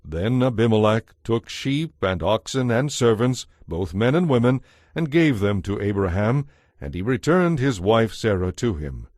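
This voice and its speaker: A man narrating a dramatized audio Bible as scripted, expressive, measured storytelling. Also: fairly low pitch, no echo, studio quality. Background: faint music.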